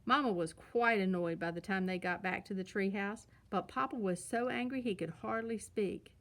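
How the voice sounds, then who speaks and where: A woman's voice: mid-range voice, normal speed, a bit bright. A woman narrating a children's storybook as a read-aloud storyteller.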